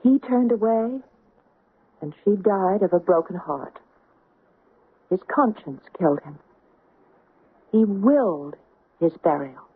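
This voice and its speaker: A woman's voice: medium pitch, animated, takes it slowly, long pauses, breathy edge, exaggerated voice. Intense, stubborn young woman. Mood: convinced. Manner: intense, insistent. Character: earnest, stubborn young woman haunted by her mother. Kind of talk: 1970s radio drama acting. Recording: muffled, hissy old radio recording.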